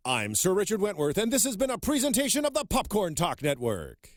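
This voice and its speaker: Announcer guy doing scripted outro, highish lively voice, really dry recording. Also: fairly quick, dry recording.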